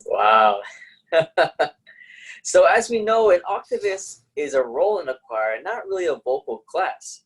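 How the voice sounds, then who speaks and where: A man's voice: a little high, sing-song, measured pace, slightly rough, rough recording. A man hosting a casual interview, asking questions and chatting with his guest. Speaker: interviewer, host.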